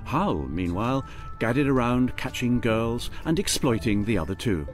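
A man's voice: medium pitch, very animated, a little slow, soft background music. A man narrating a radio drama in a scripted storytelling style.

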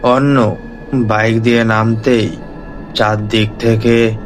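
Slow-paced male horror storyteller over music. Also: fairly low pitch, flat delivery.

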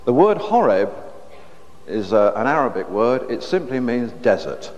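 A man's voice: medium pitch, sing-song, a little mellow. Middle-aged man lecturing on a lo-fi hall recording. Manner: measured, with frequent restarts and repeated words. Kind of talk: public lecture. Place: stage with curtain backdrop, indoors. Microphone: lapel mic. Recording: dull lo-fi hall recording with faint hiss.

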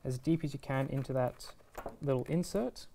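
A man's voice: mid-range voice, moderate pace, gravelly, warm tone, a little hiss or hum. Easygoing young man giving a tutorial. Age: 20s. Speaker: product reviewer and presenter. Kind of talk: casual step-by-step tutorial. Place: indoor shop video studio, standing at a table.

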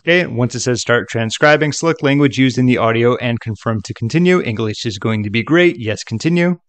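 A man narrating a software tutorial in a casual, conversational way with chatty asides. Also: mid-range voice, a little fast, no echo.

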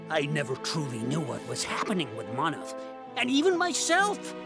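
A woman voicing a big, wistful, theatrical monster sorceress with grand melodrama in an animated game. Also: low voice, normal speed, music in the background, full character voice. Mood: puzzled, earnest. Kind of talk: animated game cutscene acting.

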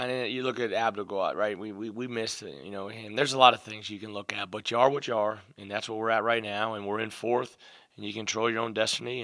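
A middle-aged man, a basketball coach, answering questions at a postgame press conference from behind a desk mic, measured and full of "um" and "you know". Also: on the low side, pushed and bright, no room sound. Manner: measured, lots of "um" and "you know", repeats phrases. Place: press room, seated at a table before a logo backdrop. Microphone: desk mic on a stand in front of him.

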